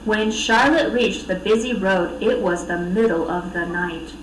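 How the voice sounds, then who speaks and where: A woman reading a children's storybook aloud with expressive narration. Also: medium pitch, a little slow, far from the mic. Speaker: storybook reader. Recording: echoey room, off mic, faint noise.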